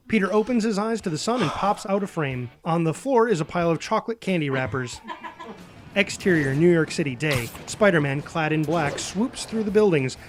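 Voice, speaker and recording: A man's voice: on the high side, background noise. A man narrating a screenplay, reading out scene headings and action as a scripted narrator. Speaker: narrator reading stage directions.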